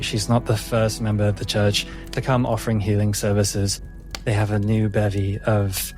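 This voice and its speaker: Guy doing a proud french brothel madam in a tabletop game, soothing but flustered, pitched up a bit, music behind. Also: natural voice.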